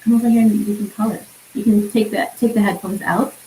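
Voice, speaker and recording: A woman's voice: medium pitch, steady tone, a little fast, warm tone. Woman hosting casually, echoey room. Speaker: event host and interviewer. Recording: roomy echo, faint background noise.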